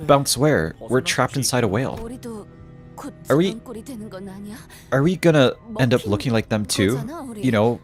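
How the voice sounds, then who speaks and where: A man's voice: mid-range voice, lively intonation, measured pace, long pauses, music in the background, natural voice. A man reading aloud the lines of a worried game character, close to his own voice. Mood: uneasy.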